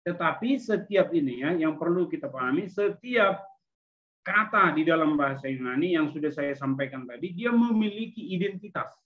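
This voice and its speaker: Male Indonesian language teacher, slightly high voice, explanatory lecture with check-ins, tinny phone-like call audio. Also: lively intonation, moderate pace. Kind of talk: online class lecture with questions.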